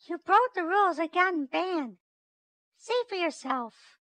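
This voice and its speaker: A performer voicing an excitable, easily panicked mother in a children's story. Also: very high-pitched, unhurried, no room sound, pitched above the performer's own voice, full character voice. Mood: matter-of-fact.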